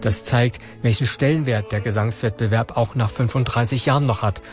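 A man's voice: mid-range voice, a little fast. Male radio reporter over faint music. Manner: clear, even reporting tone.

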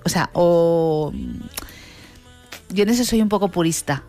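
A woman's voice: on the low side, unhurried, a little mellow, soft background music. A woman from giving casual advice as a panelist on a talk show.

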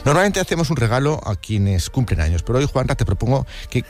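A man's voice: medium pitch, sing-song, fast talker. A man chatting about memories in a reflective, confiding storytelling manner on radio. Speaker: radio film contributor. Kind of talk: conversational anecdotes about cinema. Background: background music.

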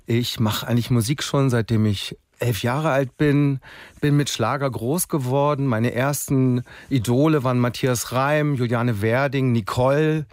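A man in his forties from Berlin, a singer chatting in a relaxed, casual interview with many fillers. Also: medium pitch, a little slow, no echo, clean studio sound. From Berlin, Germany. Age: 40s.

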